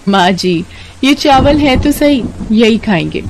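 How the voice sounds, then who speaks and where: A woman's voice: a little high, lively intonation, slow pace, darkish tone, rough recording. Woman playing a young village daughter-in-law, respectful, hopeful, eager, cartoon story, faint background noise. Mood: reassuring. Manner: reassuring, eager. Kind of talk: Hindi cartoon story dialogue.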